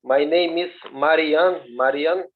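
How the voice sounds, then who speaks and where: A man from Bulgaria with a Bulgarian accent, a radio amateur introducing himself on a video call and pausing often to find his words. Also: on the high side. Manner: halting, searching for words. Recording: tinny phone-like audio over a video call.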